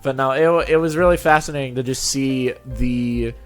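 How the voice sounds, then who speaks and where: A man's voice: medium pitch, normal speed. A man hosting a casual interview, talking in an enthusiastic, rambling way. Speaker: show host and reader of fan fiction audio. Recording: background music. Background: music under the talk.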